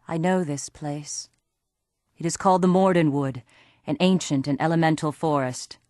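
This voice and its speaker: A woman voicing a young female elf who speaks formally and gravely in a game cutscene. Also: sits low, fairly even intonation, darkish tone, dry recording, clean studio sound, lightly acted. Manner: formal, measured, earnest.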